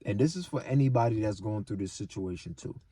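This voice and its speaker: A man's voice: on the low side, lively intonation, fairly quick, warm tone, breathy edge, no room sound. A man hosting a video channel, telling a story and giving advice in a casual monologue, often checking in with short tag questions and repeating his points. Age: adult, older than twenty-seven.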